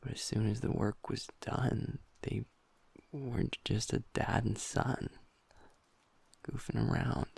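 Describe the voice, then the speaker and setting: A gentle young werewolf boyfriend, voiced by a man, speaks slowly and close to the mic in an audio roleplay. His low voice is heavily gravelly and breathy, often dropping to a half-whisper. He pauses and restarts as he confides. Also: fairly even intonation, no room sound, full character voice. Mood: vulnerable, confiding. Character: gentle young male werewolf boyfriend, usually upbeat, now downcast.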